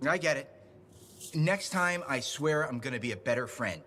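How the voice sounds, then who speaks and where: An actor playing an eager, needy male gossip in a video game, animated and swooping between yelps and confiding tones. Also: mid-range voice, normal speed, a little hiss or hum. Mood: needy, hopeful. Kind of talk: video game cutscene dialogue.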